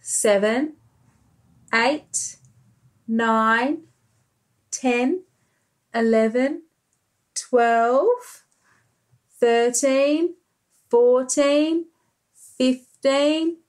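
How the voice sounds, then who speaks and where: Slow, high-voiced Australian woman reading to children. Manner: expressive, child-directed. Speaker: preschool teacher reading aloud. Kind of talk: children's storybook read-aloud with commentary. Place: small bright room indoors.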